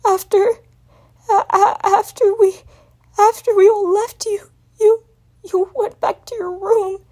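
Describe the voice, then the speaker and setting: A young male dragon played by a woman sobs in guilt and terror, his voice pitched extremely high. The words come strained and broken, stammered through gasps in a dramatic story reading. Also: fairly even intonation, takes it slowly, a little mellow. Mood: sobbing confession. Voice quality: strained, creaking, tearful. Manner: stammering, gasping, broken phrases. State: crying.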